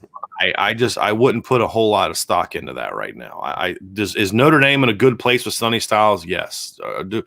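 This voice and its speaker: Hoarse, deep-voiced man talking football. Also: sing-song, brisk, bright, forceful tone, dry recording. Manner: chatty, restarts sentences often while thinking aloud. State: hoarse, sore throat. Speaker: podcast co-host and football analyst. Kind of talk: casual live-stream chat answering viewer questions.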